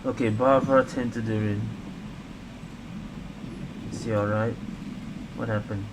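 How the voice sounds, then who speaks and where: A man's voice: fairly low pitch, steady tone, a little slow, background noise. A man giving casual game playthrough commentary as a streamer, reacting and thinking aloud. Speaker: gaming streamer. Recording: loud game music behind.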